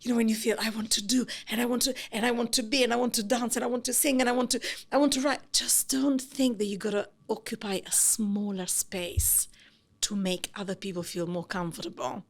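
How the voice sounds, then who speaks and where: A middle-aged woman with an Italian accent, a company founder talking as a guest in a podcast interview, effusive and animated, running her thoughts together. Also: fairly high pitch, a little fast. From Italy. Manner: effusive, animated, talks in long run-on thoughts.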